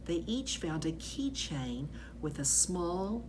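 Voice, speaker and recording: Female storyteller for a children's read-aloud: slightly low, expressive, stretching key words, faint background noise. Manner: animated, with drawn-out emphasis on key words.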